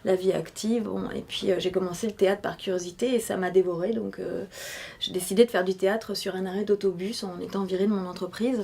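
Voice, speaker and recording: A woman in her thirties from Paris talks about her stage life in a relaxed interview. She hesitates often, with filler sounds and restarts, at an ordinary middle pitch. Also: a little fast, vocal fry, husky. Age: 30s. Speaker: showgirl and actress. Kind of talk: casual interview chat.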